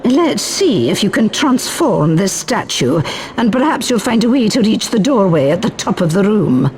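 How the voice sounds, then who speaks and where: A woman voicing a strict, brisk professor of magic, stern and precise, in a video game. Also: medium pitch, sing-song, a little mellow, close to an everyday voice. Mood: instructing. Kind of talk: video game character acting.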